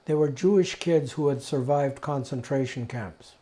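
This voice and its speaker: An elderly man from Czechoslovakia giving personal testimony, telling his story deliberately in short, emphatic phrases with pauses. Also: mid-range voice, mellow. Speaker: interviewee, Holocaust survivor giving testimony. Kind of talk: personal oral history testimony.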